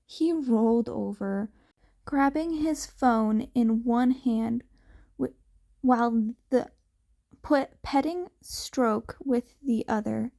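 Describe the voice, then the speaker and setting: Woman, amateur fiction narrator, high voice, extremely slow pace, scripted story reading. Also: creaky, warm tone.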